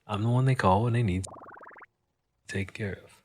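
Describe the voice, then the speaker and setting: Man acting a low-voiced, cynical criminal fixer. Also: normal speed, a little hiss or hum, natural voice. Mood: sly, boastful. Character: cynical, wisecracking male criminal fixer on Mars. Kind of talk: in-character dramatic monologue for audio drama.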